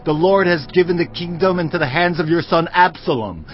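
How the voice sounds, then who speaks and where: Man acting furious, high-pitched cursing accuser. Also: lightly acted. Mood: furious, accusing. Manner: shouted, drawn-out denunciations. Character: furious, vengeful accuser hurling curses. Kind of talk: dramatized audio Bible acting. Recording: dull audio over music bed.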